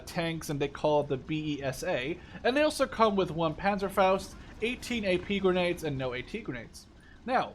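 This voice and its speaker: A man in his twenties from the Netherlands giving a casual, rambling, enthusiastic game overview with informal language. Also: high voice. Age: 20s. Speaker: gaming video creator. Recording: clear background noise.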